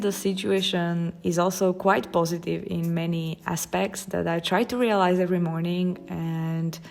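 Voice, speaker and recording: A woman with a Slovak accent, a watercolor artist chatting casually while she paints, in a halting, thoughtful way with frequent pauses. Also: fairly low pitch, darkish tone.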